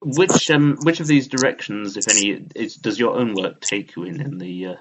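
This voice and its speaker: Low-voiced man hosting a podcast. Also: noisy background. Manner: polite, measured questions. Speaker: podcast host. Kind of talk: interview hosting.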